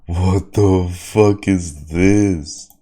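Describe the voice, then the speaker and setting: A man's voice: low-pitched, steady tone, drawn-out words, warm tone. A man streaming casual reaction commentary on game trailers, slangy and profane with frequent exclamations.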